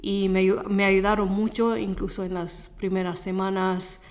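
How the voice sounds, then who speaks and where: A woman from England, a Gujarati speaker, answers interview questions in halting, self-correcting second-language speech. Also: mid-range voice, little pitch movement. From Leicester, England. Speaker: interview guest, Spanish teacher.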